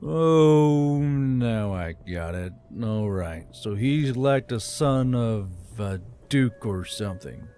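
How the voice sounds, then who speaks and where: A man's voice: mid-range voice, expressive, slow, few pauses. Wry male adventurer as a narrator plays him. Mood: curious. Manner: wry, casual, questioning. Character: wry, modest male human adventurer, curious and chiding. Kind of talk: audiobook character dialogue. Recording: soft music bed behind.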